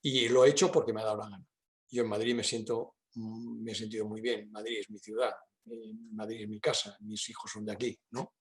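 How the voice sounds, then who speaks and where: A man's voice: on the low side, little pitch movement, fairly quick. An older man from Catalonia with a Spain Spanish accent, a professor answering questions informally in a discursive, emphatic way, full of little question tags. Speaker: university professor and guest speaker.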